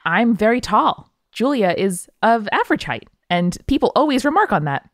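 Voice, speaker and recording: A woman's voice: fairly high pitch, very animated, moderate pace, dry recording. A woman hosting a podcast, chatting casually with dry, teasing humor and reading out a listener's email. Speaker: podcast host.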